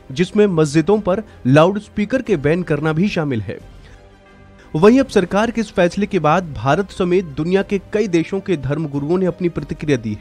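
A man's voice: sits high, a little mellow. A man reading scripted news narration as a presenter. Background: background music.

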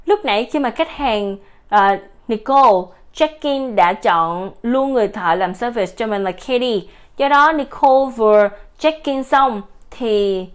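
A woman giving a steady, explanatory voice-over for a software product demo. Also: on the high side.

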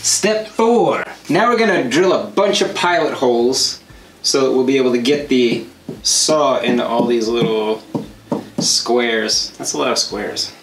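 A man's voice: mid-range voice, expressive, unhurried, over music. A man in his thirties co-hosting a DIY show, casually explaining each step of a woodworking project as he works in a home workshop. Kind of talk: step-by-step craft tutorial. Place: home workshop studio, indoors. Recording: roomy workshop echo.

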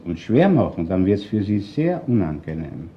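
An actor with an Austrian accent playing a composed, shrewd chief inspector in a radio crime drama, courteous but firm. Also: male voice, low voice, big pitch swings, moderate pace, muffled recording, a little hiss or hum. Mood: measured, official.

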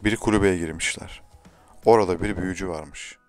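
A man's voice: low-pitched, fairly quick, no echo, soft background music. A man reading a scripted fairy tale to children as a storyteller.

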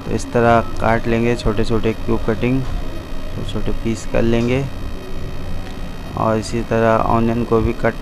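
Flat-voiced man explaining a recipe. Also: medium pitch, a little slow, gravelly, pauses often, background noise. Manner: addresses viewers as friends, repeats steps plainly. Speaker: cooking vlogger. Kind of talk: step-by-step cooking instructions. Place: commercial kitchen with gas range.

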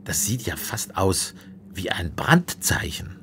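A man's voice: sits low, very animated, slow, fairly bright tone, whispered, exaggerated voice. A man playing a self-assured, brusque police inspector in an audio drama. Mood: probing. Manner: brusque, indignant, emphatic. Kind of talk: German audio drama acting.

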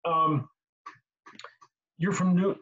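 A man in his sixties giving casual technical advice as a host on a video call, halting and repeating words as he explains. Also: fairly high pitch, fairly even intonation. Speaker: host answering car repair questions. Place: small home room indoors. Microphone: computer mic on a video call. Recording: slightly dull video call audio.

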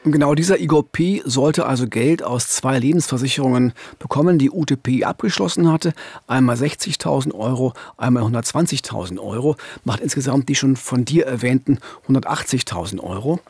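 A middle-aged man presenting a true-crime case in a deliberate, emphatic, conversational narration on a studio microphone. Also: mid-range voice, a little mellow, husky, no echo. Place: indoor radio studio. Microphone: studio boom microphone.

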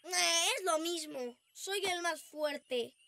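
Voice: extremely high pitch, slow, gravelly, very noisy, exaggerated voice. A voice actor with a Latin American Spanish accent playing a competitive, bragging boy rabbit in a cartoon dub. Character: boastful boy rabbit friend with robot. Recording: with loud children's music.

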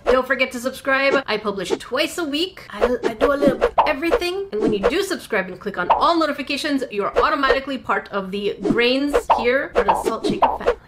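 A woman in her thirties whose first language is French, reviewing a craft kit in a chatty vlog from a home studio, with excited squeals and muttered asides. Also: high-pitched, a little fast, few pauses, very noisy. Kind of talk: casual unboxing vlog.

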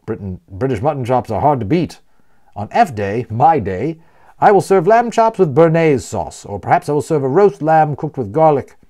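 A man's voice: mid-range voice, very animated, normal speed, mellow. A man voicing a genial, talkative man who explains things proudly and playfully as a guide in a storybook. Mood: proud, informative. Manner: explanatory, emphatic, playfully wordy.

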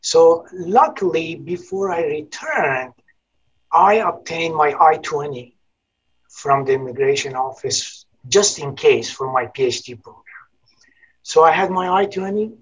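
A man's voice: a little high, measured pace, breathy edge. An older man from northern Iran with a Persian accent, a guest telling his life story in an earnest, formal way with frequent hesitations. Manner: earnest and formal, with frequent ums and repeated words. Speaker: guest, business college dean. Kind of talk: interview storytelling. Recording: dull lo-fi sound.

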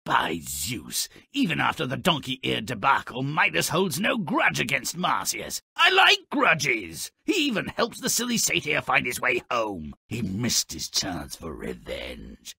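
A nasty little storybook imp narrating a game, gravelly and sharp-edged. His delivery lurches wildly up and down in pitch, slow and savored, full of sardonic glee and malice. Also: wildly swooping pitch, no room sound, exaggerated voice. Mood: mocking relish, then gleeful spite. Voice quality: gravelly, raspy. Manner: sardonic, exaggerated, relishing malice. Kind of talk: theatrical scripted game narration.

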